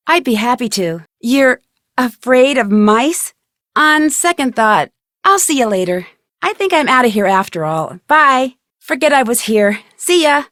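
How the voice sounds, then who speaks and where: A woman playing an inquisitive, plucky young female amateur detective in a video game, speaking in an earnest, expressive way. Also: fairly high pitch, no echo, clean studio sound. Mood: bright, friendly.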